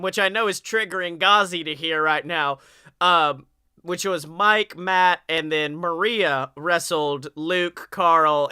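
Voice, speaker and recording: A man's voice: on the high side, sharp tone, clean tone, dry recording, clean studio sound. A young man hosting a wrestling podcast in casual chat. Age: young adult. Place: home room, indoors.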